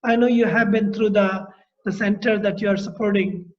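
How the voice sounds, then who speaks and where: A man's voice: sits high, steady tone. A middle-aged man with an Indian accent, interviewing a guest in a thoughtful, explanatory way over a video call from his home. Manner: thoughtful, explanatory, speaks in long measured phrases. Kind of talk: reflective conversation. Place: room at home. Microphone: laptop mic on a video call. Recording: dull lo-fi video call audio.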